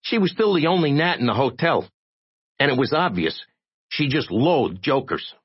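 Audiobook role: tiny crusading reporter, squeaky and husky, slangy, excitable, wildly swooping. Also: male voice, moderate pace, muffled recording. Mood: gossipy. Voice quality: squeaky, irritating little voice. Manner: streetwise slangy American talk. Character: tiny, eight-inch man, a frantic, streetwise crusading reporter.

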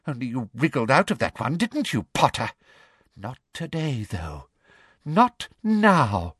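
A man voicing a prim, cruel middle-aged woman official in a story, with a breathy, agitated, self-justifying manner. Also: medium pitch, very animated, slow, fairly bright tone, no room sound, studio quality, voice pushed up, heavily acted. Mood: quiet menace.

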